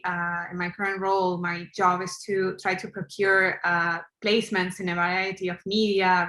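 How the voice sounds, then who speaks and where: Woman from Argentina, panel guest, low voice, flat delivery, echoey webcam sound. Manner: friendly, halting, with many fillers. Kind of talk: casual self-introduction. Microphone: webcam on video call.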